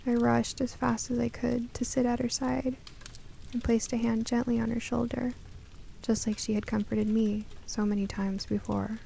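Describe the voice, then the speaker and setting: A woman narrating a scripted first-person story in a gentle, expressive way. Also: fairly high pitch, moderate pace, gravelly, slight background noise.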